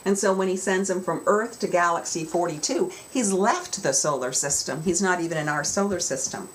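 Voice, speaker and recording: A woman's voice: fairly low pitch, moderate pace. A woman children's author giving an instructional talk to young students, explaining with emphasis in a storytelling way. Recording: clear background noise.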